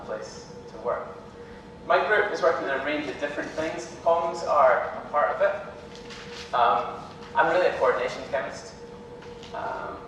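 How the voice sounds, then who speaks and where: A young Scottish man giving an academic lecture in a relaxed, hesitant way, full of ums. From Scotland. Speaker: visiting lecturer. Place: lecture hall. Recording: echoey hall from far off, with rumble.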